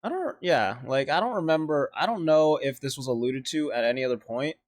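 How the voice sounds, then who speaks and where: A man's voice: on the high side, animated, fairly quick, no room sound, soft background music. A man hosts a reaction video in a loose, conversational way. He rambles and hesitates, working out his thoughts as he goes. The sound is dry, with hardly any room in it. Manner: rambling, hesitant, thinking aloud. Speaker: reaction video host, vtuber. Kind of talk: casual off-the-cuff reaction commentary.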